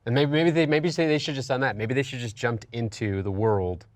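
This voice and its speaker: Fast-talking man in emphatic panel chat. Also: mid-range voice, no room sound. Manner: emphatic, repeats phrases for emphasis. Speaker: panel host on a film and gaming discussion show. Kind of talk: casual opinionated panel chat.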